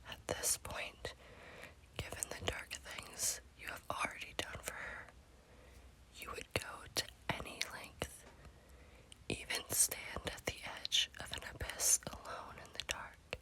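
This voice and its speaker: A woman's voice: a little slow, low-quality recording. Whispering woman telling eerie ASMR stories. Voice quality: breathy, unvoiced whisper. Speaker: host and storyteller of a scary-story series. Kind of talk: whispered ASMR horror storytelling.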